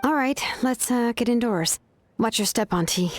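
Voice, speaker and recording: A woman's voice: on the high side, lively intonation, normal speed, close to an everyday voice. A woman playing a laid-back, considerate young craftswoman in a video game, talking in a casual, explanatory way. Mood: caring. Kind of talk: video game character acting. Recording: game music behind the voice.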